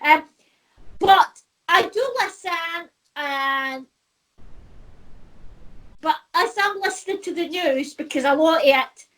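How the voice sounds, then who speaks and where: A woman's voice: very high-pitched, slow pace, pauses often, fairly bright tone, rough recording. A middle-aged woman, talking in a casual chat, chatty and laughing often, repeating herself. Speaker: co-host.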